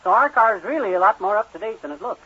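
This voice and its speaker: A folksy, argumentative husband from a vintage radio comedy. His high voice swings widely in pitch as he blusters and stubbornly defends his penny-pinching ways. The recording is dull and old. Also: animated, quick pace, bright, forceful tone, breathy edge, heavily acted. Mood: proud, indignant. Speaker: comic lead in a radio sitcom.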